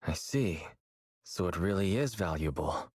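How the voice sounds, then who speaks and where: Game partner: calm young brother, husky, mid-pitched, lively inflection, analytical and a little cocky. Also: male voice, unhurried, dry recording, studio quality, exaggerated voice. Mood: calm, matter-of-fact. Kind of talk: English video game voice acting.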